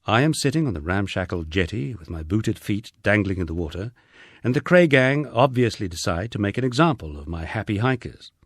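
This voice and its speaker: Deep-voiced, expressive male audiobook narrator. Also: fairly quick, no room sound, clean studio sound. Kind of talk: scripted literary narration of a humorous country memoir.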